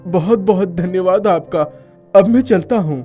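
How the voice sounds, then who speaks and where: Casting: man as young fairy-tale prince, courteous, determined, warm dark tone, high-set pitch, animation. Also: moderate pace, soft background music, lightly acted. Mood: earnest, determined. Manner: polite, earnest. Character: brave, polite young prince devoted to his mother. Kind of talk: cartoon fairy-tale voice acting.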